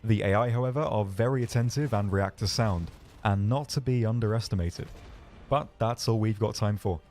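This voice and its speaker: Low-voiced man presenting a video game. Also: moderate pace, a little mellow, a little hiss or hum. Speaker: game developer presenting his team's game. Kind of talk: scripted promotional game narration. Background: background music.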